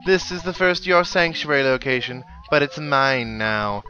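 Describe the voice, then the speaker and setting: A man's voice: mid-range voice, measured pace, pushed and bright, highs cut off. A man giving casual let's play commentary, musing aloud and reading out on-screen text as he goes. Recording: game music behind the voice. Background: video game music.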